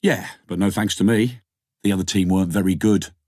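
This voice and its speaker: A man's voice: low-pitched, brisk, a little mellow, no echo, studio quality. A man voicing a keen, slightly awkward thirties male doctor in an audiobook, chatty and tentative. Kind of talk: audiobook character dialogue.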